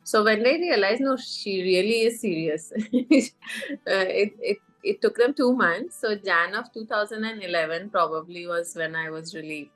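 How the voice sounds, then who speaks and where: A woman's voice: mid-range voice, normal speed, fairly bright tone, soft background music. A middle-aged woman from Iran, a life coach, telling her story in a podcast interview with many fillers and restarts.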